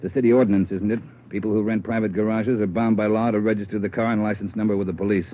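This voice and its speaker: A commanding chief of detectives, played by an actor talks in a low, gruff voice. His delivery is clipped and decisive, as in vintage radio crime drama. The sound is muffled, with faint noise. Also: fairly even intonation, racing, natural voice. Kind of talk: 1950s radio drama acting. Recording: muffled, faintly noisy old radio recording.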